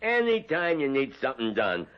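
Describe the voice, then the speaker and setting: An actor playing a dim, brash, thuggish grown son in an old-time radio drama, slangy, crude and eager. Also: male voice, mid-range voice, wildly swooping pitch, moderate pace. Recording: muffled vintage radio recording.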